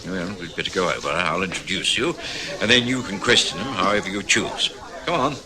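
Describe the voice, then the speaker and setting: An actor playing a genial gentleman, companion and narrator in a radio detective drama. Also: deep voice, fairly quick, a crowd in the background, lightly acted. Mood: discreet, sociable. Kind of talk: old-time radio drama acting, part narration.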